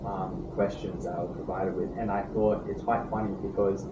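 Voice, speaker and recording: A man's voice: low-pitched, little pitch movement, lo-fi sound. A young man, a barrister, giving careful answers in an interview with frequent hesitations. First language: Mandarin. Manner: measured, hesitant, with fillers and restarts. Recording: roomy, distant, noisy with traffic.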